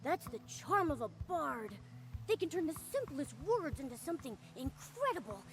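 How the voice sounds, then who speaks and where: A woman voicing a small, excitable cat-like companion creature in a cartoonish video game, plucky, plaintive and childlike. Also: sits high, moderate pace. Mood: envious. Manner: animated, childlike, blurting.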